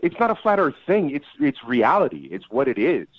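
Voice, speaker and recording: A man's voice: mid-range voice, big pitch swings, brisk, narrow, tinny audio. A man calling in to a dating show, arguing in an insistent, preachy way and repeating himself. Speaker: dating show call-in guest. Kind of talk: argumentative phone chat.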